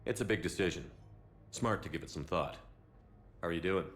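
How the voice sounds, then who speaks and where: An actor playing a steady, stoic military commander in a video game, terse and earnest in his delivery. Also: sits low, fairly quick, faint music behind. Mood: calm. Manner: terse, earnest, matter-of-fact. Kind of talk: video game cinematic dialogue acting.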